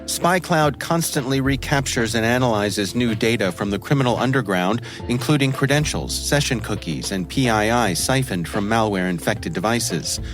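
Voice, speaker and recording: A man's voice: mid-range voice, normal speed, hardly any pauses, a bit bright. A man delivering a scripted sponsor read as an announcer. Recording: music bed behind voice. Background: music.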